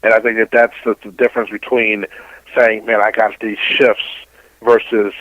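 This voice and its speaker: A man's voice: fairly low pitch, level tone, normal speed, no echo, muffled recording. A middle-aged man, a radio host being interviewed, giving casual opinions and often repeating phrases as he builds a point.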